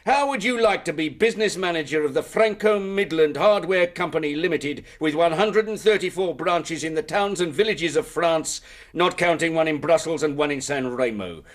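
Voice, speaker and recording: A man's voice: fairly high pitch, normal speed, close to an everyday voice. A man playing a persuasive, boastful financial agent in a radio drama, with florid salesman's patter.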